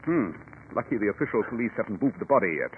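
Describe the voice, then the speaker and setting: An actor playing a shrewd, commanding gentleman detective, precise and formal, in 1940s radio drama style. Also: fairly low pitch, lively intonation, quick pace, slightly rough. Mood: keen, brisk. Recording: muffled, noisy 1940s radio recording.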